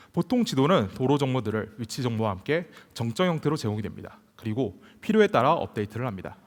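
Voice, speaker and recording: A man delivers a formal, scripted technical presentation in a reverberant hall. His pitch is mid-range and swings in a lively way across phrases, while the wording stays measured and carefully prepared. The long reverb of a conference venue surrounds his voice. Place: large conference hall stage.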